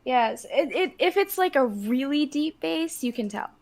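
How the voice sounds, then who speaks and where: A woman chatting on a live stream through a close personal microphone, halting and restarting her sentences. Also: high-pitched, lively intonation, darkish tone. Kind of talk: casual chat.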